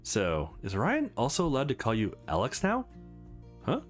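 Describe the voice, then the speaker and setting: Very animated man narrating a game playthrough. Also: mid-range voice, moderate pace. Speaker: gaming channel host. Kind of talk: visual novel playthrough, reading dialogue aloud with commentary. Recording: music playing behind the voice.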